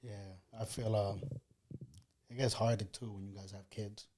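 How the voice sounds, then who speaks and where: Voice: mid-range voice, steady tone, normal speed, darkish tone, breathy edge. A podcast co-host chatting in a laid-back way, trailing off and swearing casually. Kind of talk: casual chat.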